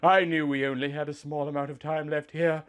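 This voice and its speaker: A man's voice: fairly high pitch, flat delivery, fairly quick, clear, resonant tone. A man reading a scripted first-person story as narrator, with music behind him.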